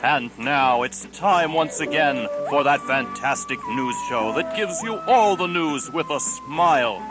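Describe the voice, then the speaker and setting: A grand, upbeat male announcer as an actor does him for a parody newscast. He speaks slowly in a fairly high voice over clear music. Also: rough recording. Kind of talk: parody broadcast intro.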